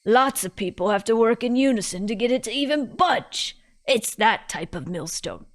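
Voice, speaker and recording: A woman's voice: on the high side, expressive, moderate pace, natural voice. A woman voicing a stubborn, long-winded old country woman who tells her stories in a folksy, emphatic way. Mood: lecturing.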